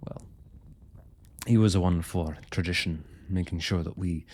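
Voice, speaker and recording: A man's voice: low voice, steady tone, a little slow, a little mellow, husky. A man playing a young royal blacksmith in an intimate fantasy roleplay, speaking to the listener in courtly, hesitant, old-fashioned phrasing. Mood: wry, reminiscing. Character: young male royal blacksmith, smitten, forthright, proud working man.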